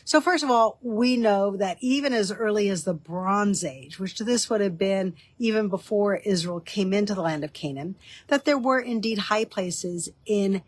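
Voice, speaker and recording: A woman's voice: medium pitch, moderate pace, no echo. Slightly nasal, stuffy woman explaining. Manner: relaxed and conversational, with frequent ums and restarts. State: stuffy from a cold. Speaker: author and teaching host. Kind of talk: casual teaching talk.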